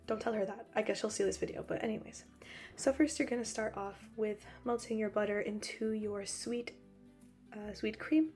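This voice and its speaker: A young woman with a hoarse voice, still recovering from losing it, narrating a cooking video in a chatty, joking way with frequent laughs. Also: mid-range voice, fairly even intonation, normal speed, a little mellow, soft background music. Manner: chatty, joking, laughs often, rambling asides. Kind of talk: casual voice-over narration.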